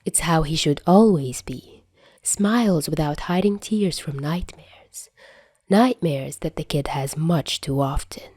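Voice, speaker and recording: A woman's voice: deep voice, slow pace, a little mellow, dry recording. A woman reading scripted fiction aloud as the narrator of an audio story. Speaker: podfic narrator.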